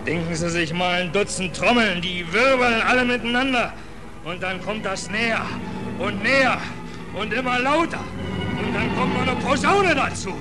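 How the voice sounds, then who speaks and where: A man's voice: high-pitched, normal speed, natural voice. An actor playing a weary, haunted seaman with brooding, repetitive phrasing in a 1940s German radio drama. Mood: feverish dread. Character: weary, haunted seaman telling of horrors at sea. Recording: old radio play, loud music behind.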